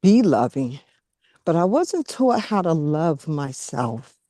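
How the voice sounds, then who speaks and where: A woman in a casual, reflective chat, speaking earnestly in short phrases with pauses mid-sentence. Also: sits low, big pitch swings, mellow, no room sound, studio quality.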